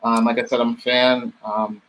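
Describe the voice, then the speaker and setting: Halting man hosting a casual interview. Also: medium pitch, flat delivery, fairly bright tone, poor audio. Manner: halting, repeats words. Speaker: interview host. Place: dim home studio room, video call.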